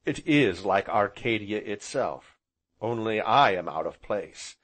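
A man as a placid, dreamy, courtly gentleman. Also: fairly low pitch, expressive, full character voice. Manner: slow, placid, gravely courteous. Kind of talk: audiobook character voice.

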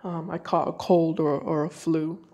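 A woman's voice: low-pitched, fairly even intonation, measured pace, mellow, lo-fi sound, slight background noise. A woman reading tarot cards for her viewers, talking casually and thinking aloud with hesitant restarts, while sick with a cold. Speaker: tarot reader. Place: home room with decorated card table.

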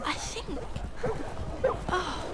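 A woman's voice: extremely slow, noisy background. An actress playing a polite, earnest young girl in an audio drama. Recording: loud music behind.

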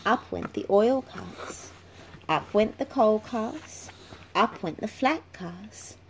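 A woman's voice: medium pitch, animated, slow. A woman reading a children's picture book aloud in a storytelling style, in a noisy home recording. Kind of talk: slow read-aloud storytelling.

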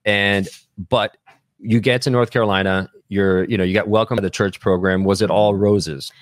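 A man's voice: fairly low pitch, steady tone, moderate pace, dry recording. A man, hosting a conversational podcast interview into a close studio microphone. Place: small podcast studio at a university. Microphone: close studio microphone on boom arm.

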